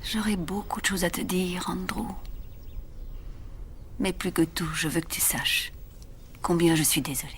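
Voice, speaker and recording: A woman playing a stern, controlled mother worn down by her rebellious son in a dubbed TV drama, with measured, formal, biting delivery that often turns hushed. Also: fairly low pitch, slight background noise. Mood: hushed, sorrowful. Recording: music under the voice. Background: music.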